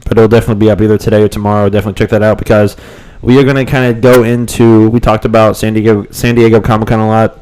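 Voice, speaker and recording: A man's voice: fairly low pitch, little pitch movement, racing, hardly any pauses, darkish tone, slight background noise, poor audio. A young man co-hosting a casual live stream. His voice cuts in and out from a cold, and he rambles with lots of ums and restarts. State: voice cutting in and out from a cold. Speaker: co-host of a fan podcast live stream.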